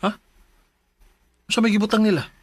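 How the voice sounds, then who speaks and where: A man's voice: high-pitched, steady tone, moderate pace, a little mellow, slightly rough, no echo, clean studio sound. A man with a Filipino accent playing a bewildered young groom-to-be in a radio drama. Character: bewildered young groom-to-be, trying to fix things.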